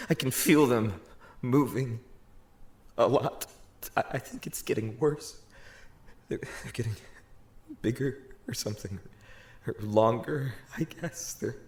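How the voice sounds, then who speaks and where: A man's voice: medium pitch, echoey room, close to an everyday voice. An actor playing a young man falling apart alone, stammering, swearing and near tears. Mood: distressed. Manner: halting, stammering, swearing, voice cracking.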